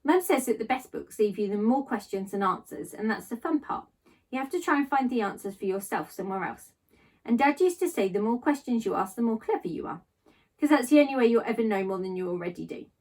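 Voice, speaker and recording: A woman teacher reading aloud to pupils in an expressive, storytelling manner. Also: a little high, fast, recorded from a distance, poor audio. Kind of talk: expressive children's story narration.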